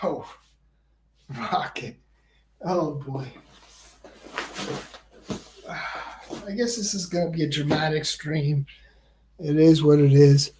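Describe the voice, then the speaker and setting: A man's voice: on the high side, fairly even intonation, slow, pauses often, darkish tone, slight background noise, rough recording. A man hosting a casual livestream, rambling and repeating words, and he says he stutters. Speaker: livestream host.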